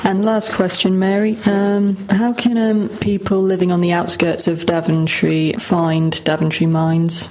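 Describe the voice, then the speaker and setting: Even-toned female reporter, lowish voice. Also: measured pace, few pauses, poor audio. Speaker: radio reporter. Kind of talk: prepared interview questions.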